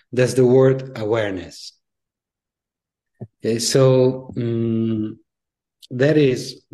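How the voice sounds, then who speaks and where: A man with an Italian accent speaks as a guest in a conversational interview. His voice is fairly low, and he picks his words in a thoughtful, halting way. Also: warm tone. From Italy. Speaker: interview guest, leadership coach.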